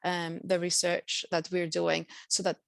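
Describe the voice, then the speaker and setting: Low, gravelly woman with Greek as a native language. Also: completely flat delivery, a little slow, no echo. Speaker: university lecturer and researcher. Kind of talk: online presentation. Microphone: video call.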